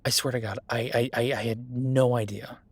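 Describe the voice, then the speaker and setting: A man's voice: mid-range voice, fairly even intonation, normal speed, husky, no room sound. A man playing a friendly, self-made CEO and old college friend in a roleplay audio drama, talking casually with stammers and self-deprecation. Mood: flustered, awkward. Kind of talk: intimate one-sided roleplay audio drama, conversational.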